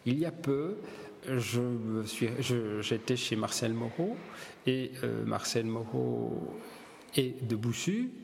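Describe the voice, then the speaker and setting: A middle-aged man from Belgium tells his stories slowly, stopping and restarting his sentences. His voice has a gravelly edge. The recording carries a long reverb tail and a faint background hum. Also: mid-range voice, expressive. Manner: hesitant, many fillers and restarts. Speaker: hairdresser, gallery owner and publisher. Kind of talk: relaxed interview storytelling.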